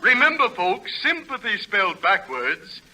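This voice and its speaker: An American actor playing a pompous, mock-earnest pitchman in a parody 1940s radio commercial. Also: big pitch swings, unhurried, exaggerated voice. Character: smooth-talking mock radio pitchman for quack remedy. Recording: noisy old radio, loud audience.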